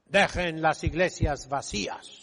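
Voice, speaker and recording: A man's voice: a little high, measured pace, creaky, bright, forceful tone, breathy edge. A man preaching a sermon as a priest, emphatic and didactic, putting rhetorical questions to his listeners. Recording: long reverberant echo of a large hall.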